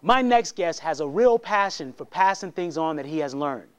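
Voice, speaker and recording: A man's voice: fairly high pitch, normal speed. A middle-aged man hosting a talk show, hyping the next segment and interviewing a guest in a studio. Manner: energetic, hyped promo delivery. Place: studio set with a large screen.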